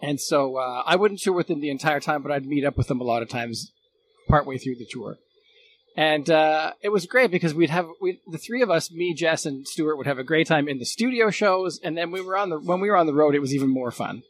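Male interview guest, slightly high voice, earnest, rephrasing speaker, light noise behind. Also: lively intonation, fairly quick. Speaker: guest, former radio producer. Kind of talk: casual reminiscing conversation.

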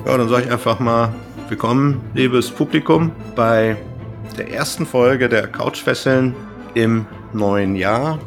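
A man's voice: mid-range voice, unhurried, creaky, over music. A man hosting a podcast, moderating in a casual, chatty style. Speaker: podcast host.

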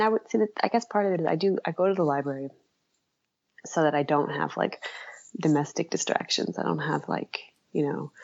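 A woman being interviewed in casual conversation, talking haltingly and self-deprecatingly, often trailing off, with a creaky voice. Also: deep voice, a little mellow, dull audio. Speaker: interview guest, author.

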